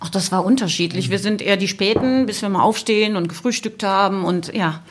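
A middle-aged woman with a medium-pitched voice is a guest on a podcast. She recounts things casually, in loose everyday conversation. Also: fairly quick. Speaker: podcast guest, travelling mother.

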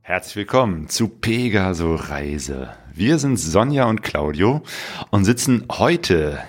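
A man's voice: sits low, very animated, slow pace, soft background music. A man hosting a casual podcast interview, recorded in a living room. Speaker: podcast host.

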